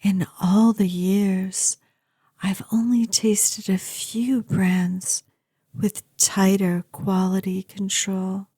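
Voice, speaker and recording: A woman playing a doting, obsessive ancient vampire girlfriend in an intimate ASMR roleplay, with a soft, breathy, often whispery and lingering delivery. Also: mid-range voice, dry recording, clean studio sound.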